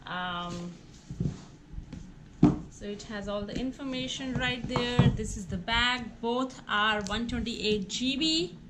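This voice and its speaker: A woman's voice: a little high, takes it slowly. A woman hosting a casual unboxing video, talking through each step aloud in an exclamatory way, on a noisy recording. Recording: clearly noisy home recording with clicks.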